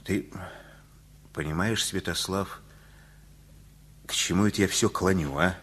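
An actor in a radio play as a kindly, folksy older male neighbour who preaches in an avuncular, colloquial way with a husky voice. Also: low voice, unhurried, fairly bright tone, a little hiss or hum. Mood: earnest admonishing.

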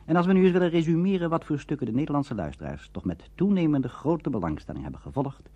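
A man giving scripted explanatory narration as a lecturer, formal and phrased with emphatic pauses. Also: on the high side, sing-song, warm tone, breathy edge, no room sound, dull audio.

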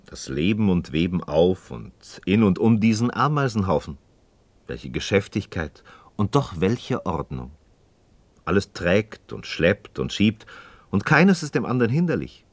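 An actor playing a sceptical, curious friend in a philosophical radio play, reflective and expressive, wary and questioning. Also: male voice, deep voice, a little slow, no echo, exaggerated voice. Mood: contemplative, wondering. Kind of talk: German radio play dialogue acting.

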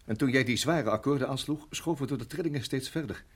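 An actor playing a shaken middle-aged father in a radio drama, speaking haltingly and repeating his words. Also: mid-range voice, husky. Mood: haunted, brooding. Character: shaken father, a former Rhodesian farmer, hesitant and troubled.

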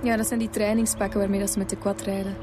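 A woman's voice: medium pitch, flat delivery, fast, over music, lightly acted. An actress playing a helpful, chatty mother with a Flemish accent in a radio drama, explaining things cooperatively.

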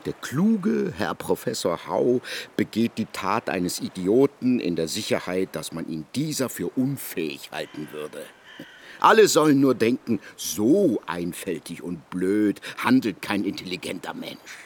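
An actor in a German radio drama playing a scornful criminologist, sarcastic and accusing in a theatrical way. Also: male voice, deep voice, very animated, unhurried, breathy edge, exaggerated voice. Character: scornful male criminologist confronting a suspected murderer.

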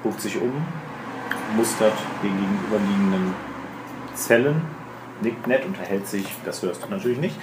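Man, low voice, game master, improvised descriptive narration, colloquial asides, noisy room. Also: moderate pace. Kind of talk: improvised narration of a tabletop role-playing game. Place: room with other players at a table. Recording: roomy, noisy group table recording.

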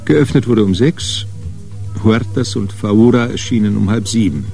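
Male narrator for radio crime drama: very low, dark, relaxed delivery of literary prose, faint music underneath. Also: unhurried, vocal fry.

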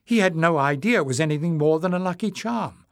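A man's voice: fairly high pitch, expressive, a little fast, dry recording, studio quality, voice pushed up. A man voicing a fierce, urgent young adventurer woman in an audiobook story. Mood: calm.

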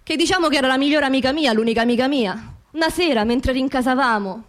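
A woman's voice: sits high, fast talker. A woman with a Roman accent performing a colloquial, theatrical radio monologue, repeating words for emphasis. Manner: emphatic repetition of words and phrases. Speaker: stage actress performing her own monologue.

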